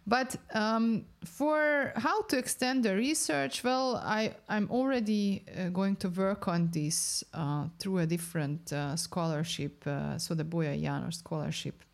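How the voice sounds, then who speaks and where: A woman's voice: medium pitch, a little slow, creaky, hardly any pauses. A young woman researcher in an interview, explaining her study's findings carefully and searching for words as she goes.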